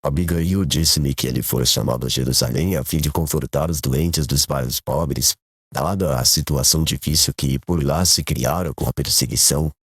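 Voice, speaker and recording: Very deep, gentle old father, acted by a man. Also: husky, no room sound, clean studio sound, heavily acted. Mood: resigned. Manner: measured, gentle, formal. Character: grieving, calm, kindly old rural father. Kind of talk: dramatized audiobook acting.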